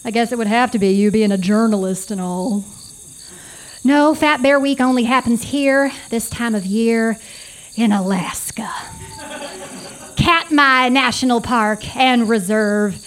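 A woman's voice: on the high side, measured pace, slight background noise, natural voice. A woman acting a brash, earnest wildlife photographer in a live stage comedy, emphatic and self-important. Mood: self-assured. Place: small theater, live performance.

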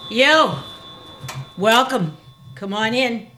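A woman's voice: on the high side, animated, pushed and bright, slight background noise, exaggerated voice. A woman playing a breezy, soothing shop clerk. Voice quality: slow, soothing. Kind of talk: live stage radio drama.

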